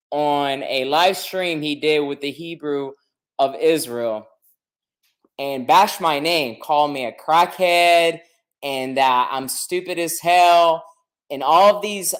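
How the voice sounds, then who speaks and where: Man, forties, ranting online video maker, repetitive and defensive. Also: high-pitched. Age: 40s. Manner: defensive, repeats himself, talks down. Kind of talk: angry rant video.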